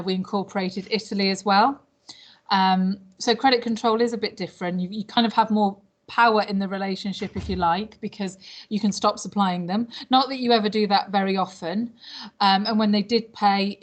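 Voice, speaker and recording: British woman, chatty and hesitant. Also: mid-range voice. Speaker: language teacher, interviewee. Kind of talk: informal interview answers about past jobs.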